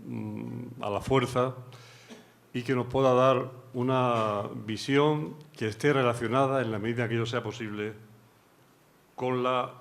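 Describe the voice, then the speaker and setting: A middle-aged Spanish man, a judge giving a formal academic lecture in a courteous, measured way with pauses. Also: medium pitch, fairly even intonation, creaky. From Spain.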